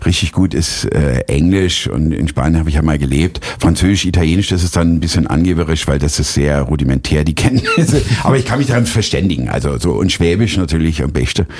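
Deep husky guy in his 40s, chatty guest, laughs a lot and says äh constantly. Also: fast, few pauses. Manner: animated storyteller, laughs often, many fillers. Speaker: guest, actor and cabaret artist. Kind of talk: casual interview talk.

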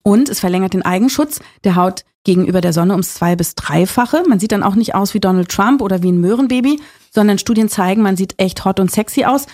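A woman's voice: mid-range voice, a little mellow. Breezy woman doctor explaining nutrition. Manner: brisk, informal, explains with jokes. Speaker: nutrition doctor and author, guest. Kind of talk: explanatory interview talk.

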